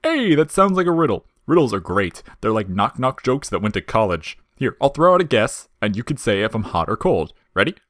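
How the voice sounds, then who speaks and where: A man's voice: medium pitch, very animated, fairly quick, few pauses, fairly bright tone, dry recording, clean studio sound, pitched above the performer's own voice. A man voicing a wisecracking skeleton monster with a breezy, folksy, mock-casual manner and a menacing edge in an audio fiction. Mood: breezy, teasing.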